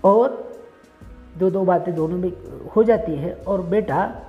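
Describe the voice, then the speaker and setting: A man's voice: high voice, slow pace, highs cut off, faint music behind. A man telling a moral story and addressing listeners directly, in simple, repetitive, explanatory phrasing. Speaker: storyteller and channel host.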